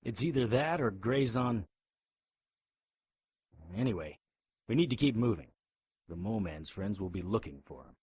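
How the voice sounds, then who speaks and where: A man's voice: on the low side, expressive, normal speed, pauses often, darkish tone, husky, no room sound. A man playing a blunt, skeptical private investigator in an audio drama, wry and urgent. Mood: wry, practical. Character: gruff, skeptical amnesiac private investigator hero.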